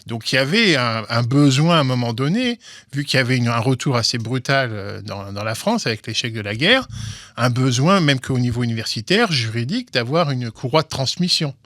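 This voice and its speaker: Halting, dry-voiced man explaining. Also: mid-range voice, lively intonation, a bit bright, no room sound. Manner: halting, many restarts and repeated words. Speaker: guest, legal commentator. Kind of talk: explanatory interview talk.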